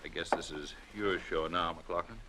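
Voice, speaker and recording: Deep-voiced company boss played by an actor, heavy noise. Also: male voice, moderate pace, natural voice. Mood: composed. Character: composed male company chief receiving a visitor. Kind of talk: 1950s radio drama acting. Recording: very noisy old radio recording.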